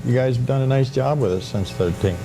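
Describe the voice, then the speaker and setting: A man's voice: medium pitch, fairly quick, reverberant, background noise. A man making casual remarks as a participant in an indoor meeting.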